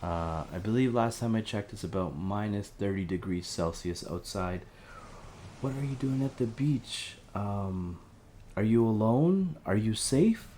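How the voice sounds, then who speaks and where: A man playing a gentle, anxious helpline counsellor in an audio drama, halting, earnest and reassuring. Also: lowish voice, animated, slight background noise. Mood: worried, pleading. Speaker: helpline operator. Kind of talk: audio drama phone-call acting.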